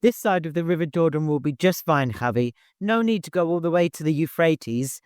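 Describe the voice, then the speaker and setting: A man voicing a hurried, no-nonsense master organizing a caravan in an audiobook, courteous but commanding. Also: fairly high pitch, lively intonation, clear, resonant tone, no echo, studio quality, pitched above the performer's own voice, close to an everyday voice. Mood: polite impatience.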